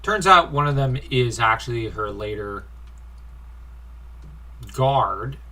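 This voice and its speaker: A man's voice: medium pitch, animated, takes it slowly, sharp tone. A man giving an informal comic review in a nitpicky, critical way, given to sighing. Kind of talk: informal comic review commentary with read-out dialogue.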